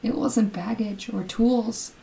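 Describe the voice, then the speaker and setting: A woman's voice: on the high side, steady tone, heavily acted, poor audio. An actress playing a frightened young woman held captive by a killer, telling her story in a suspenseful old-time radio horror drama. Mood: hushed dread. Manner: breathy dramatic suspense, hushed and halting when afraid.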